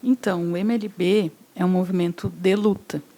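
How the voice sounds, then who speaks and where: A woman's voice: lowish voice, steady tone, moderate pace, dark tone. A woman speaking as an interview guest, a housing movement coordinator, explaining in a casual, hesitant way with frequent fillers.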